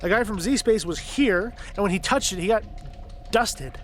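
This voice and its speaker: A man's voice: sits high, normal speed, close to an everyday voice. A man playing an anxious, sarcastic teenage boy in an audio drama.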